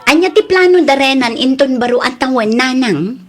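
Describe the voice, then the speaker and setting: High-voiced carefree freeloading Ilocano wife acted by a woman. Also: expressive, normal speed. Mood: eager, scheming. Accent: Ilocano Filipino. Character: carefree, freeloading married daughter, eager to save money. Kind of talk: Ilocano comedy radio drama acting.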